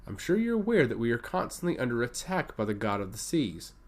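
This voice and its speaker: A man's voice: medium pitch, big pitch swings, brisk, close to an everyday voice. A man voicing an earnest, respectful envoy pleading his case in a fantasy story. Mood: measured.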